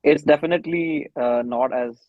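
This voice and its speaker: A man with an Indian accent speaking as a band musician in an interview, measured and matter-of-fact with some hesitation. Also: fairly high pitch, no echo. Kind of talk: conversational interview answer. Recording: dry but dull lo-fi audio.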